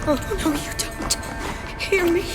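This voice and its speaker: A woman's voice: high voice, expressive, takes it slowly. An actress playing a young female medic desperately trying to save a dying man, pleading frantically in a game cutscene. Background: tense music score.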